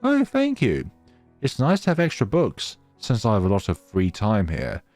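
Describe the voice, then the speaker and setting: A man voicing a lonely, gentle snow spirit girl in a visual novel, with a wistful, lilting manner. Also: fairly low pitch, huge pitch swings, moderate pace, dry recording, soft background music. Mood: pleased, gentle.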